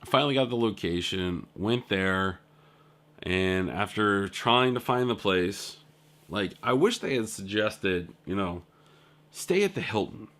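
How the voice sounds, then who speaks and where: Rambling low-voiced male vlogger. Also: lively intonation, unhurried, fairly bright tone. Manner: rambling storytelling with filler words and asides. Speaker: indie game developer. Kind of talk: casual video diary recap.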